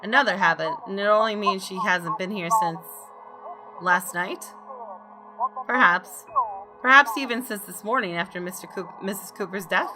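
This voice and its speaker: A woman reading visual novel story text aloud in a measured, expressive, deliberate way. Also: mid-range voice, over music. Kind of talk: dramatic narration of story text. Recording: clearly noisy background.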